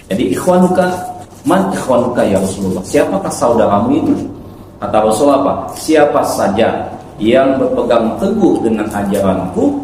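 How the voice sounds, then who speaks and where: A man's voice: lowish voice, mellow. A man giving an instructive Islamic lecture to a congregation as a preacher, often checking in with short tag words. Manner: didactic, with frequent "ya" tags and check questions. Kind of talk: religious lecture explaining hadith to a congregation. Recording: very echoey hall, distant mic, faint noise.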